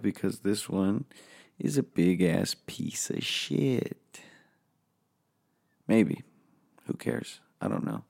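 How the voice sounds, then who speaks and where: Hoarse, gravelly, slow-talking man. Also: fairly low pitch, darkish tone. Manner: rambling, self-deprecating, swears freely. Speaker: podcast host. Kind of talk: casual solo podcast monologue. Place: home office.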